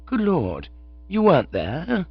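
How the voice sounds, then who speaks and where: A chirpy young toff with a high, bouncy voice, as a man puts him on, full of breezy slang. His tone is gravelly and husky underneath. The recording is dull and dry. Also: huge pitch swings, slow, no echo, heavily acted. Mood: puzzled. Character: cheerful young upper-class man about town.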